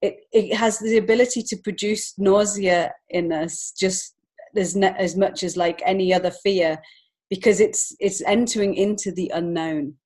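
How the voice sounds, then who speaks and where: A woman's voice: mid-range voice, steady tone, normal speed, a little mellow. A woman speaking as an interview guest in a reflective, conversational way, earnest and feeling her way through phrases. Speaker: podcast guest, children's author and illustrator.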